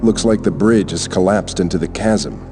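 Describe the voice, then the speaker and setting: An actor playing a gruff young male orc adventurer in a video game, terse and wry, musing aloud. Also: deep voice, moderate pace, music in the background, lightly acted. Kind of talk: video game character acting.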